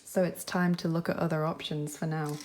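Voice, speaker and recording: A woman with a lowish, mellow voice talks through a tarot and charm reading. She speaks gently and reassuringly, musing aloud with frequent ums and likes. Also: flat delivery, a little fast, a little hiss or hum. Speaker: tarot reader. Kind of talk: pick-a-card tarot reading.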